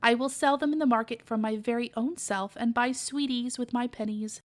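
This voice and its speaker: Woman playing a squeaky, cheerful little boy pig. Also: moderate pace, close to an everyday voice. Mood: innocent, chatty. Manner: squealing exclamations, childlike phrasing. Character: cheerful, innocent young male pig squealing "wee wee".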